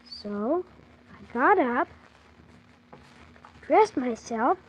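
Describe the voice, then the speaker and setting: A woman's voice: high voice, big pitch swings, very slow, slightly rough, full character voice. A woman playing an eager, wide-eyed young orphan boy, telling his adventure earnestly and full of wonder in a 1940s children's radio serial. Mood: earnest, wistful. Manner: earnest, wonder-filled, childlike storytelling with exclamations. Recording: muffled 1940s radio transcription, faint hiss.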